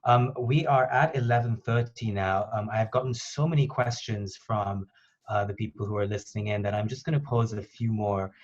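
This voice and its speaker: Male moderator for a panel call, lower register, dull lo-fi call audio. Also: fairly even intonation, normal speed. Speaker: host, moderator of a conference call. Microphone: conference call line. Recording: dull, band-limited conference call line.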